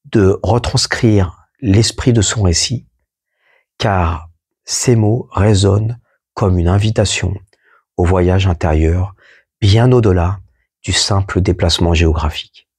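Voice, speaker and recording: Male host, low husky voice, slow measured poetic reading with asides. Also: darkish tone. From France. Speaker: podcast host. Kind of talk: reading a poetic first-person text aloud.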